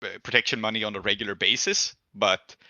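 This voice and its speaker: Sharp, bright-voiced man as game master. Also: fairly low pitch, steady tone, a little fast, no echo. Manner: explanatory, reasoning out rulings aloud. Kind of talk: casual talk running a tabletop game.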